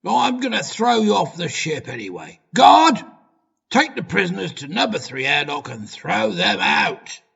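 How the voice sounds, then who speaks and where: Alien warship captain, played by a man: slow, gravelly, pompous and menacing, amateur audio drama. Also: high voice, huge pitch swings, fairly bright tone, muffled recording, heavily acted. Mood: scornful, commanding. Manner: theatrical, pompous, deliberate. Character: menacing, callous male alien spaceship captain who writes poetry.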